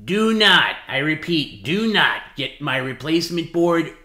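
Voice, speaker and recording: Guy reading stuff out to wind someone up, sharp bright voice, echoey room. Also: a little high, expressive, unhurried. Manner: goading, emphatic, repetitive. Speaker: livestream host. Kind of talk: dramatic reading mixed with casual talk. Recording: roomy, echoey sound.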